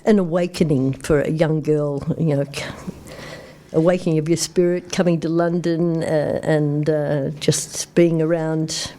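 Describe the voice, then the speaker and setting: A middle-aged woman hosts a radio interview, and her voice is very low and mellow. She asks questions in an encouraging way and affirms often as her guest talks. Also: fairly even intonation, measured pace. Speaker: radio host. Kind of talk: interview conversation. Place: radio studio.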